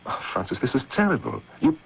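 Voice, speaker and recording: An actor playing an earnest, protective magazine editor who also narrates, in expressive 1970s radio drama style. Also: male voice, medium pitch, quick pace, vocal fry, full character voice. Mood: anguished, insistent. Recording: muffled, noisy 1970s radio recording.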